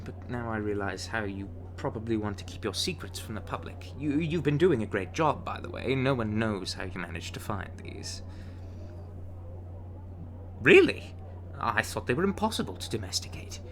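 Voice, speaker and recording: A man's voice: lowish voice, animated, moderate pace, slight background noise. A man playing a charming, teasing, smitten young bard in an intimate ASMR romance roleplay, coaxing the listener. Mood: sheepish, warm. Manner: teasing, coaxing, with soft chuckles and hesitant asides.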